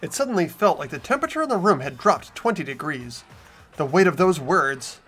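Man as a bright, high-pitched earnest boy. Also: sing-song, a little fast, breathy edge, soft background music, full character voice. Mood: uneasy narration. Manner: earnest, insistent. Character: earnest, trusting teenage boy student protagonist. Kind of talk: fan voice-over of a visual novel game.

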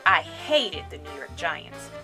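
Bright, animated woman reading a children's story. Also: low voice, slow pace, faint music behind. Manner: animated, dramatic phrasing. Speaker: read-aloud storyteller. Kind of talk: expressive children's story narration.

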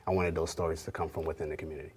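A man in his thirties with a very low voice explains his project earnestly, leaning on fillers as he thinks. He is on a lapel mic in a studio with a faint hum behind. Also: little pitch movement, very fast, a little mellow. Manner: thoughtful, filler-heavy, earnest. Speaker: artist and curator guest. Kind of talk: casual interview talk. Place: TV studio, indoors.

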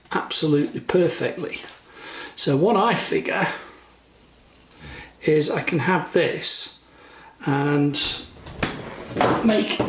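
A man's voice: fairly high pitch, reverberant. A man in his forties talking through a casual do-it-yourself vlog, rambling with lots of fillers and restarts, recorded on a camera mic both outdoors by a lake and in a kitchen. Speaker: vlogger.

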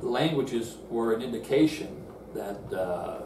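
A man's voice: medium pitch, expressive, slow. An older man gives a seated Bible lesson in an earnest, explanatory way, often restarting phrases as he works through verses. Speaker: Bible teacher. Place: indoor room in front of a screen. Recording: roomy, distant, noisy room sound.

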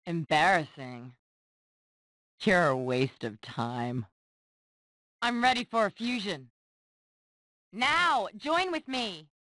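A haughty superheroine for a video game. Her voice sits a little low but swings widely in pitch. She delivers clipped, disdainful combat lines at an unhurried pace, impatient and commanding. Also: animated, fairly bright tone, dry recording. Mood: urgent, commanding. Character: haughty, impatient superheroine fighter, cool and scornful. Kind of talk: video game voice clips, short combat barks.

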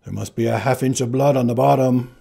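A big, good-natured male giant calls out plain reports, as a male reader plays him. His voice is raspy and gravelly. He talks in a simple, matter-of-fact way. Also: mid-range voice, fairly even intonation, fairly quick, warm tone, pitched above the performer's own voice.

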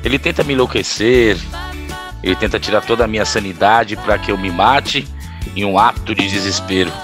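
A man's voice: fairly low pitch, moderate pace, over music, lightly acted. A man playing an anguished young rich heir in an audio drama, confiding intensely about a father he fears. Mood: bitter, fearful.

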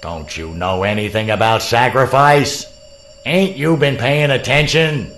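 A man's voice: mid-range voice, unhurried, a bit bright, slight background noise. A man voicing a blunt, streetwise tough guy with slangy speech in an audio story. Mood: scornful.

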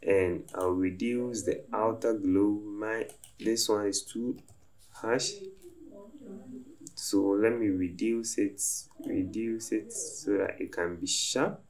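Man, tutorial narration, step-by-step instructions, slow pace. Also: on the low side, darkish tone. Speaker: design tutorial host. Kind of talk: screen-recorded software tutorial narration.

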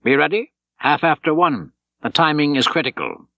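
A man's voice: on the high side, very animated, normal speed, vocal fry, fairly bright tone, no echo, dull audio, voice pushed up, exaggerated voice. A man voicing a curt, bold resistance commando leader in an audiobook, clipped and urgent. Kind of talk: audiobook character voice.

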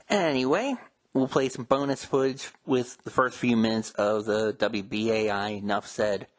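A man speaking as a host, introducing and explaining program segments to listeners. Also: lowish voice. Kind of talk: scripted show narration.

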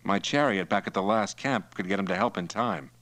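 A man's voice: sits low, brisk, a bit bright. A man playing a wary, stubborn captive patrolman in an audiobook, with a dry, guarded, needling delivery. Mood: guarded, tense. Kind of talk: acted dialogue in audiobook narration.

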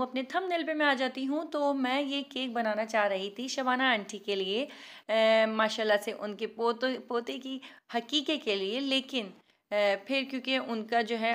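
A woman's voice: a little high, a bit bright. A woman hosting a home baking tutorial in voice-over, chatty, self-mocking and pleading, laughing at her own work. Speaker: cooking channel host.

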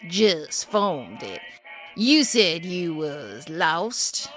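An actress playing a suspicious, gruff old woman who lives alone, talking bluntly in a slow drawl. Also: lowish voice, very animated, fairly bright tone, soft background music, heavily acted. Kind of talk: audio drama acting.

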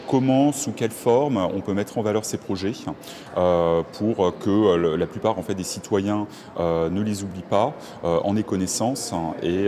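A man in his thirties speaking in a conference interview, earnest and hesitant, pausing often with filler sounds. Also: on the low side, warm tone, a little hiss or hum. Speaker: rural network project officer. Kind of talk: conference vox-pop interview. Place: covered walkway of a conference centre.

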